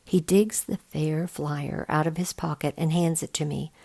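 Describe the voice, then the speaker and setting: A woman reads a children's storybook aloud. Her voice sits on the low side with a noticeable creak, and she narrates expressively as a volunteer reader would. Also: fairly even intonation, measured pace, mellow.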